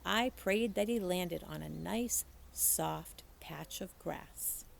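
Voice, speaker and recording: A woman reading a children's story aloud in an expressive, animated storytelling manner. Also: lowish voice, takes it slowly, gravelly, slight background noise. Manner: animated storytelling, acts out dialogue. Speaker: teacher reading a book aloud. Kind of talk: expressive children's audiobook-style read-aloud.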